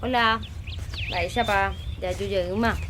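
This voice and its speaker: A woman's voice: mid-range voice, fairly even intonation, a little slow, noisy background, natural voice. A woman playing the questioning grown child of a Paraguayan farmer in a radio soap opera. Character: grown child questioning the father about the growers.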